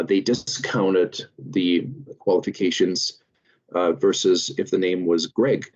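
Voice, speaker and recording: Lecturer: man, German accent, low voice, unhurried with frequent fillers, muffled webinar audio. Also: a little mellow. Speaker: lecturer, series host. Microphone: video call.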